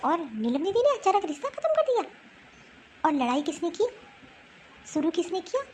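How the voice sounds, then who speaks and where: A woman voicing an angry, sharp-tongued woman scolding relatives in a family drama cartoon. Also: extremely high pitch, big pitch swings, moderate pace, a little hiss or hum. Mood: hurt, bitter. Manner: scolding, indignant. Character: angry, sharp-tongued Indian women quarrelling in a family feud.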